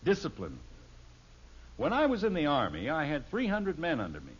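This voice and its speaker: Exasperated, blustering sitcom dad. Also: male voice, fairly high pitch, wildly swooping pitch, normal speed, breathy edge, exaggerated voice. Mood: lecturing. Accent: American. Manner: lecturing, commanding, speechifying. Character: exasperated, strict, blustering suburban father, middle-aged. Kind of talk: 1950s radio sitcom acting. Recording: muffled vintage radio recording.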